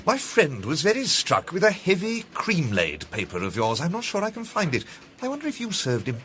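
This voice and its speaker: An actor with an English accent playing an urbane, self-assured gentleman detective, polished and theatrical in a radio drama. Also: on the high side, wildly swooping pitch, moderate pace, a little hiss or hum. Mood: affable. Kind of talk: British radio drama acting.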